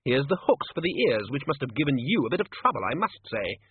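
A man voicing a blunt, blustery old wizard arch-chancellor in an audiobook, brusque, loud and emphatic. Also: mid-range voice, sing-song, quick pace, phone-quality sound, a little hiss or hum, pitched above the performer's own voice. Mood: indignant, accusing.

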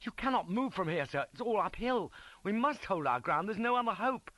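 Guy doing frantic british army officer, high tense shouting, urgent, audiobook character. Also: big pitch swings, a little fast, dry recording, full character voice. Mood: frantic, pleading. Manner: urgent, insistent. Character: frantic male British cavalry officer pleading for sense.

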